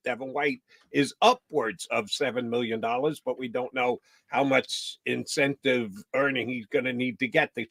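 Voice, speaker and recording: A man's voice: mid-range voice, a bit bright, no echo. A man hosting a morning sports talk stream, wisecracking and ribbing his guest before asking long, winding questions. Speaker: sports show host.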